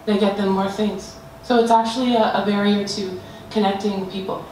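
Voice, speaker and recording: A woman's voice: medium pitch, fairly even intonation, moderate pace, a little mellow. A woman giving a student group design presentation, hesitant, with frequent fillers and restarts. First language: not Danish. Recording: echoey room, mic far off, faint noise.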